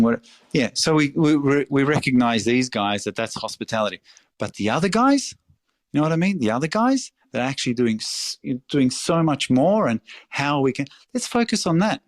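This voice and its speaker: A middle-aged Australian restaurateur talking in a casual interview, passionate and emphatic, swearing freely. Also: male voice, medium pitch, dry recording. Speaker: restaurateur guest.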